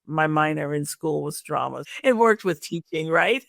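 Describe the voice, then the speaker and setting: A woman with a creaky voice, speaking conversationally as an interview host and career coach. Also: low-pitched, animated, a little slow, a bit bright, no echo, studio quality.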